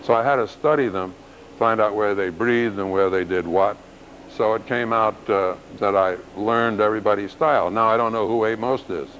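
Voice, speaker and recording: An older man in his seventies with a low, unhurried voice tells rambling, self-deprecating stories about his years as a clarinetist. He talks casually as a guest. Faint backstage noise sits under his voice. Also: fairly bright tone, low-quality recording. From New York City. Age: 70s. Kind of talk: casual interview.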